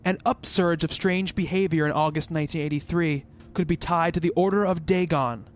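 A man's voice: on the high side, steady tone, brisk, a little hiss or hum, lightly acted. A man playing a worried, rational researcher in an eerie radio play, speaking as a thoughtful narrator and character over music. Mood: pensive, measured. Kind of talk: radio play dialogue and first-person narration.

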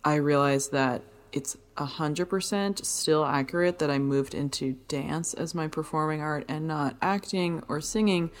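A woman's voice: measured pace, hardly any pauses. A woman co-hosting a podcast, who teaches fitness, talking in a rambling casual way with many fillers. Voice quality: low voice.

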